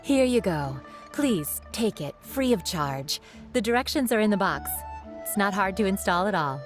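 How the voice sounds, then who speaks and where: An actress playing a friendly, grateful, generous shopkeeper in a video game, with a polite manner. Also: female voice, mid-range voice, animated, normal speed, music in the background, close to an everyday voice. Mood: grateful, welcoming. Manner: polite, gracious.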